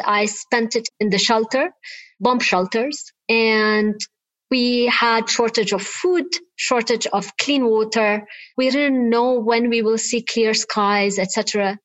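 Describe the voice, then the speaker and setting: A woman's voice: on the high side, a bit bright, no echo, poor audio. A woman from Lebanon, a guest in a remote interview, speaking earnestly and reflectively and laughing often.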